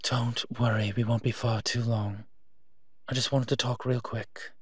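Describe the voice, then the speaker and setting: Casting: male actor for kindly monster protector, teasing and soft-spoken, slow delivery, dry intimate audio-drama sound. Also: mid-range voice, little pitch movement, slightly rough, dry recording. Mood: serious, concerned. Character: gentle, teasing male monster protecting children, detective's partner. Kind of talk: intimate audio roleplay addressed to the listener.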